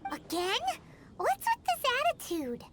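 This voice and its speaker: A woman voicing a tiny, earnest, childlike fairy companion in a video game, with a squeaky voice. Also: wildly swooping pitch, unhurried, very noisy, heavily acted. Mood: indignant.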